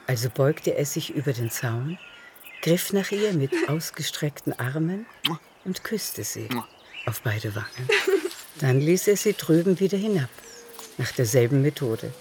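A woman's voice: deep voice, animated, unhurried, background noise. A woman reading scripted literary narration for a radio drama. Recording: music behind.

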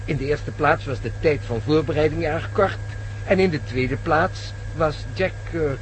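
A slightly high, fussy voice: a man playing an older professor, excitable and prone to stammering, in an old-fashioned radio play. Faint hum underneath. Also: moderate pace, lightly acted, low-quality recording. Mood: explaining.

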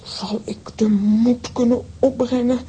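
An actress playing a fierce, easily agitated aunt in a children's audio play, speaking in exclamations with theatrical drama. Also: female voice, on the high side, steady tone, unhurried, mellow, slight background noise, lightly acted. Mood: anguished, dramatic.

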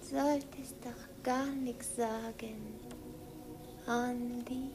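An actress playing a young Hungarian village girl, tender and dreamy, in a hushed, soft voice, in an old radio recording. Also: fairly high pitch, fairly even intonation, very slow. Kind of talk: 1950s German radio drama acting. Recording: faint hiss of an old 1951 radio recording.

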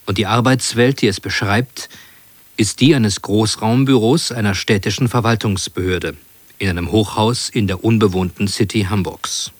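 A man working as a radio announcer, giving a scripted presentation in formal, measured phrases. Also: lowish voice, no room sound. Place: dry radio studio.

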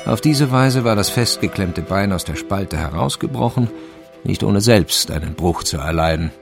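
A man narrating a crime radio play in a measured, wry, literary manner. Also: low-pitched, lively intonation, gravelly. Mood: grave, suspenseful. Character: dry, omniscient male narrator of a village crime story. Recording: music behind the voice. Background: instrumental music.

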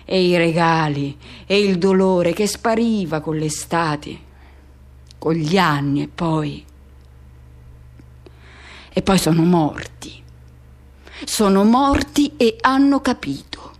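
An actress performing a radio theatre monologue as an anguished person haunted by a lost love, speaking intensely in short, broken, repeated phrases. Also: female voice, on the low side, slow, pauses often. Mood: mournful, subdued.

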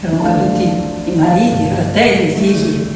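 A woman's voice: lowish voice, fairly even intonation, a little fast. A woman performing theatrical storytelling on stage in short, emphatic phrases. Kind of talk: theatrical narrative storytelling with piano. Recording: very echoey hall, distant, loud music. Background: loud piano music.

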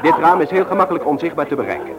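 An actor playing a cocksure, bossy young ringleader in a radio play, instructing with firm emphasis. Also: male voice, a little high, very animated, rapid-fire, over a noisy crowd, poor audio. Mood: confident, instructing.

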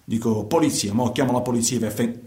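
A man's voice: medium pitch, dark tone, close to an everyday voice. Guy acting guilty trucker in a radio play, southern italian accent, rambling excuses, repeats himself, roomy echo. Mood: uneasy, explaining. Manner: halting, repetitive, defensive, colloquial. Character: guilty truck driver confessing, self-justifying, agitated, coarse. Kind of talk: radio theatre monologue, colloquial confession. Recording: echoey room.

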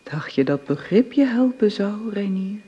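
An actress in a radio drama playing a melancholy, reproachful wife, wistful and searching. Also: female voice, mid-range voice, animated, moderate pace, breathy edge. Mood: wistful, sad. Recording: long dreamlike reverb, dull sound.

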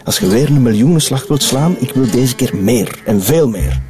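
An actor playing a stubborn, cynical, greedy blackmailer in a radio drama, with a Flemish accent. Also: male voice, mid-range voice, lively intonation, moderate pace, over music, natural voice. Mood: accusing, demanding.

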